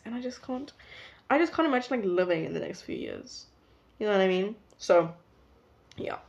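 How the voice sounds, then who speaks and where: A young woman in her late teens with a South African accent, chatting casually to camera in a rambling, self-interrupting way. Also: medium pitch. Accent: South African English. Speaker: vlogger. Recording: dull lo-fi recording.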